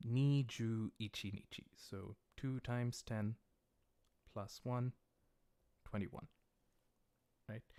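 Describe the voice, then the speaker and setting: A man's voice: mid-range voice, fairly even intonation, mellow, no echo. Patient man teaching Japanese dates. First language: English. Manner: patient, explains step by step, reads viewer questions aloud; slows down to pronounce Japanese words carefully. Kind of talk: livestreamed language lesson.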